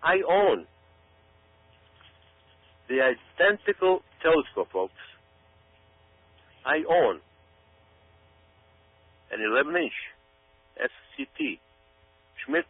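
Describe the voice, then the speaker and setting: A man's voice: mid-range voice, very animated, takes it slowly, long pauses. A man giving an emphatic, folksy monologue commentary, speaking directly to his listeners as if explaining his case. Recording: tinny phone line with hum.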